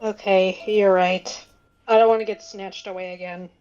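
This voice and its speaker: A woman's voice: mid-range voice, little pitch movement, moderate pace, darkish tone, smooth, highs cut off, faint music behind. A woman playing a tabletop role-playing game, chatting casually in character with a reserved, understated delivery. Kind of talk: casual role-play chat.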